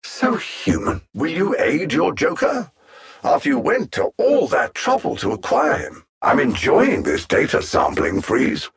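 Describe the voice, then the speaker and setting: An actor with a British accent playing a haughty, mocking alien machine intellect in a video game, speaking with pompous, deliberate diction. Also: male voice, high-pitched, wildly swooping pitch, vocal fry, breathy edge, heavily acted. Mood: gloating. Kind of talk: theatrical video game villain voice lines.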